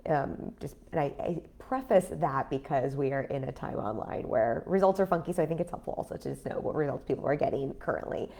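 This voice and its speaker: A woman in her thirties talking casually on a livestream as a business coach, her voice raspy after an illness, chatty with many fillers. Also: low-pitched, brisk, hardly any pauses, warm tone. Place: home in a city apartment.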